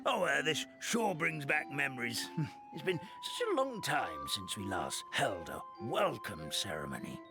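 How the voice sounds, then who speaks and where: A man voicing a kindly, doddering old grandpa creature in a video game, gravelly and halting, with music behind. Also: medium pitch, big pitch swings. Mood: fond, nostalgic. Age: older. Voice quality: creaky, gravelly. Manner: halting, chuckling, folksy.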